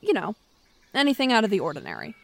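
A woman's voice: mid-range voice, huge pitch swings, quick pace, creaky, exaggerated voice. A woman playing a guarded, defensive scientist in a naturalistic audio drama, with a hesitant, dry, easily exasperated manner. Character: guarded, defensive woman scientist who hunts mysterious creatures.

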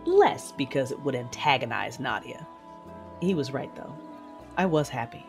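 A woman's voice: a bass voice, animated, moderate pace, vocal fry, full character voice. Brooding, guarded Sith noblewoman over music. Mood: reflective narration. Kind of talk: first-person audio drama narration and dialogue. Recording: music behind the voice.

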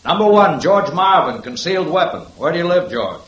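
An actor playing a firm police sergeant who runs a lineup and barks orderly instructions in a 1950s radio drama. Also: male voice, fairly high pitch, very animated, fairly quick, a bit bright, dull audio. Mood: firm, official. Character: brisk, commanding male police sergeant. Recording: echoey old radio broadcast.

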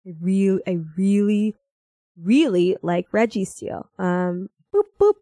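A woman's voice: mid-range voice, expressive, slow, dark tone, dry recording. A young American woman from Minnesota in casual chat, rambling playfully with a giggly manner. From Minnesota, United States. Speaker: podcast co-host.

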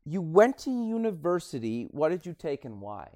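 A man's voice: on the high side, very animated, normal speed, dark tone, dry recording, studio quality. A man from Canada hosting an interview, asking short friendly questions. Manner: friendly, brief prompting questions. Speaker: podcast host. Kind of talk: interview host.

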